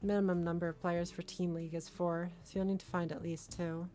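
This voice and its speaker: A woman livestreamer with a slightly low voice, moving between reading a visual novel aloud and casual chat. Faint music plays behind her. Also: flat delivery, brisk, mellow.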